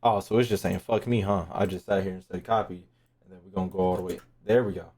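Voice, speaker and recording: A man's voice: deep voice, fairly even intonation, fast talker, a little mellow. A man doing casual livestream commentary on a game, slangy and sweary, muttering short reactions. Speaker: streamer reacting to game lore.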